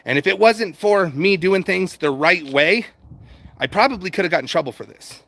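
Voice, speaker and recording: A man's voice: sits high, expressive, moderate pace, fairly bright tone. A middle-aged American man hosting a casual livestream, talking in a rambling, repetitive, conversational way with some swearing. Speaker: livestream host answering viewer chat. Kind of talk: casual unscripted chat, reading out and answering comments.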